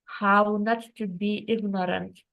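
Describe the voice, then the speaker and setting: A woman's voice: medium pitch, steady tone, takes it slowly, vocal fry, phone-quality sound. A woman from Lithuania, a guest telling her personal story reflectively over a video call. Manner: earnest, reflective, with non-native phrasing. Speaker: guest, writer. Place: home in Croatia, on a video call.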